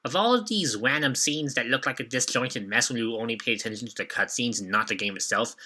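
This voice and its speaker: Young male reviewer with a sharp, forceful, very bright voice, reading scripted retrospective commentary. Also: mid-range voice, a little fast. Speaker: video reviewer and host.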